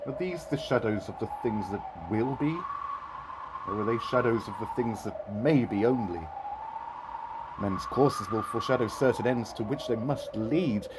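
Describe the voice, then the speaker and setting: An actor playing an old Victorian miser in an audio drama, earnest and theatrical, with formal old-fashioned phrasing. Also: male voice, medium pitch, heavy background noise, close to an everyday voice. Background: music.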